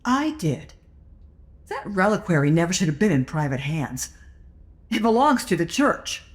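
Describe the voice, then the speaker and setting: An actress playing a righteous, defiant church agent turned thief in an audio drama, indignant and full of conviction. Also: female voice, fairly low pitch, sing-song, moderate pace. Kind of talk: supernatural comedy audio drama acting.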